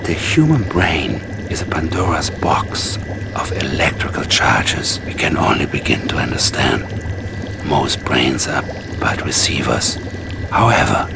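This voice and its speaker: A menacing Soviet psychic adviser for a game cutscene. His Russian-accented voice is rock-bottom deep, with sly swoops in it. It is hushed and deliberate, with music behind. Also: expressive, creaky, whispered, heavily acted. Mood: sly, ominous. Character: sinister, calculating Soviet psychic adviser, menacing and soft-spoken. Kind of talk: video game cutscene acting.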